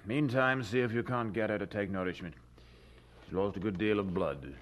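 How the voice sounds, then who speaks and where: An actor playing a matter-of-fact, folksy doctor in an audio drama, calm, blunt and mildly sympathetic. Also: male voice, on the low side, normal speed, slight background noise, close to an everyday voice.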